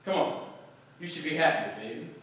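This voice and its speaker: A man in a staged play reading playing a pushy, sleazy nightclub manager who coaxes and bullies in smooth slang. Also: on the high side, expressive, normal speed, a bit bright. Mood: coaxing. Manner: slangy, coaxing and bullying. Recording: distant, very echoey room, faint noise.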